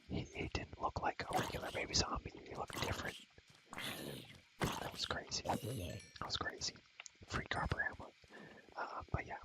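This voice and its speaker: A man's voice: sharp tone, low-quality recording. A young man whispering ASMR commentary over a game in a rambling, enthusiastic, slangy way, often repeating his phrases. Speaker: ASMR creator showing a game world.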